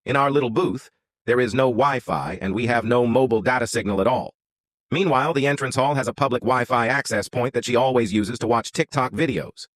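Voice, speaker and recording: A man's voice: mid-range voice, fairly quick, a bit bright, no echo. A man reading out an online story as a scripted narration.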